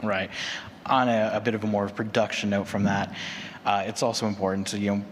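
A man's voice: lowish voice, level tone, a little fast. A man leading a conference presentation, explaining point by point and checking in with "right?" as he goes. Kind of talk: semi-prepared conference presentation. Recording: reverberant hall, clear background noise.

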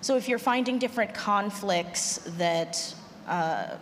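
A woman speaking as a panelist, an audio drama creator and actor talking to an audience in considered, measured phrases with pauses. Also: mid-range voice. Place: large room at a convention panel. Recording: echoey hall, clear background noise.

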